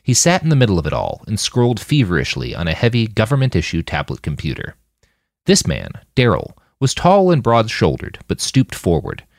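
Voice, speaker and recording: Low-voiced man narrating fiction, very animated. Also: fairly quick, vocal fry, no room sound, clean studio sound. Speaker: audiobook narrator.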